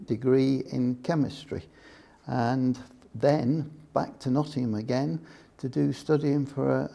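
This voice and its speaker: An older man from Scotland recounting his working life in an oral history interview, measured and explanatory, often restarting his sentences. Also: mid-range voice, gravelly, dark tone. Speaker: interviewee, former computing employee.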